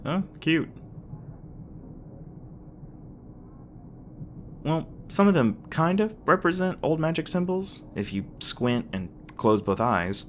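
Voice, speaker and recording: A man's voice: on the high side, lively intonation, unhurried, slight background noise. A man playing a young demon boyfriend in an ASMR roleplay, speaking casually with teasing jokes, sweetness and hesitant asides. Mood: cozy, affectionate.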